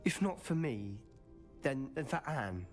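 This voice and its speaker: An insistent young male student, played by an actor pleads his case in a fantasy game. The pitch moves a great deal, and the voice rings with long reverb over light music. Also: expressive, measured pace, natural voice. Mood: pleading. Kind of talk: dramatic video game dialogue acting.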